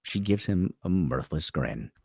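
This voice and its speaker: A man's voice: low voice, big pitch swings, measured pace, vocal fry, slightly rough, no echo, studio quality. A man narrating a screenplay's stage directions at a table read, theatrical and punchy in short clipped phrases.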